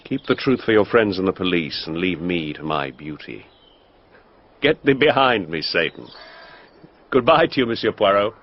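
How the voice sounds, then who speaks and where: An aloof, languid aesthete in a radio mystery, with a deep voice that moves widely in pitch. He sounds dreamy and theatrical, disdainful of intrusion. The sound is slightly dull. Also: big pitch swings, normal speed. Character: aloof, dreamy male aesthete who loves beauty. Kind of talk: radio drama acting. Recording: dull radio recording with faint noise.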